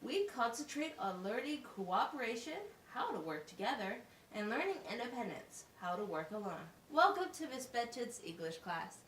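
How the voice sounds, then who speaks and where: A woman playing a teacher in a scripted classroom puppet show, explaining things simply and deliberately. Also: fairly high pitch, very animated, fairly bright tone. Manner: instructive, simple wording with deliberate enunciation. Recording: roomy, distant mic with faint noise.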